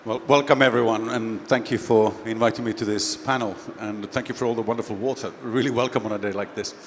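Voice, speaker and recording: A man's voice: fairly low pitch, fast talker, a little hiss or hum. A middle-aged man from Finland with a light Finnish accent, speaking casually as a CEO on a conference panel. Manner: relaxed, conversational, with fillers. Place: expo stage.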